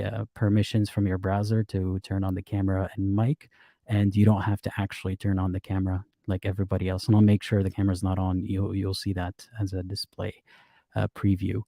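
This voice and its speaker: A man hosting a live stream with callers, reading out chat comments and giving his opinions in a relaxed, casual way. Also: on the low side, dry recording, clean studio sound. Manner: relaxed, reads out chat comments and muses aloud. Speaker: livestream host taking callers.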